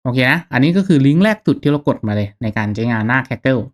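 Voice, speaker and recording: A man narrating a casual step-by-step software tutorial in an informal, instructional manner. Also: medium pitch, lively intonation, quick pace. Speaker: tutorial host.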